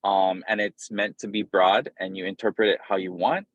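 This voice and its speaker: A man from Hawaii speaking as a guest on a video call from an airport, loose and informal with many pauses. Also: low voice, fairly even intonation, no echo, muffled recording. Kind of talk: casual talk on a video call. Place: airport, in transit.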